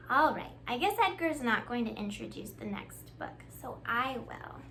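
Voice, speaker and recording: Children's storytime reader: woman, high and lively pitch, expressive delivery, addressing little ones, slight noise behind. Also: unhurried, rough recording. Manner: expressive, addressing young children with simple questions and praise. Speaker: children's librarian, storytime host. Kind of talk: children's storytime read-aloud.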